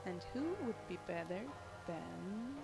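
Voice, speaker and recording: An actress playing a cool, ambitious young insurance fraud chief, narrating a game intro in a cynical, matter-of-fact way over music. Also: female voice, on the low side, takes it slowly, creaky. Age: young adult.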